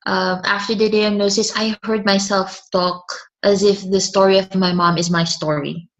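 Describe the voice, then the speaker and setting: A woman's voice: mid-range voice, little pitch movement, normal speed. A woman, a guest speaking hesitantly and often restarting her words. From Philippines. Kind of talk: conversational interview.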